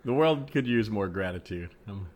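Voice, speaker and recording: A man's voice: on the low side, lively intonation, normal speed. An American man co-hosting a comedy podcast, reading posts aloud and commenting in casual chat. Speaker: podcast co-host and improviser. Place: remote podcast recording.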